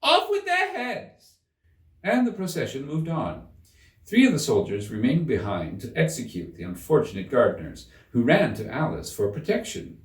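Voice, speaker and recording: A man giving an expressive scripted narration of a children's classic as an audiobook reader. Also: mid-range voice, moderate pace, far from the mic. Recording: roomy echo, slightly off the mic.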